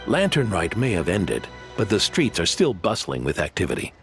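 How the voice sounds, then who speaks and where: A man's voice: low voice, normal speed, exaggerated voice. A man voicing a hearty, devout and generous old grandfather innkeeper in a video game, with an effusive manner. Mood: hearty, welcoming. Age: older. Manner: animated, effusive. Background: music.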